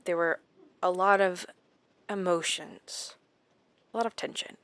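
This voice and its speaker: An actress playing a shaken woman recounting her captivity in a horror audio drama, halting and dramatic with deliberate pauses. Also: on the low side, steady tone, fairly bright tone, clean tone, no room sound. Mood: shaken, unsure. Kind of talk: first-person horror audio drama narration.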